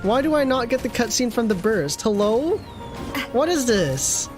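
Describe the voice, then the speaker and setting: A man's voice: extremely high pitch, sing-song, normal speed, over loud music. A man giving casual live game commentary as a streamer, wry and often muttering to himself. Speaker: gaming streamer.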